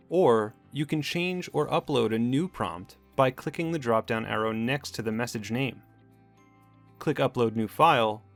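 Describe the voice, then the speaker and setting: A man reading scripted instructional narration in a measured, matter-of-fact corporate manner. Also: medium pitch, creaky. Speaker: tutorial narrator. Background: background music.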